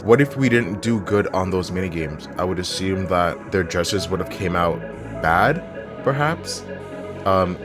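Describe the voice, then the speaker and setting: A man doing casual game commentary and reading aloud as a video host, close on the microphone. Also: sits low. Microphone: microphone on a stand, close to the mouth. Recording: music playing behind the voice.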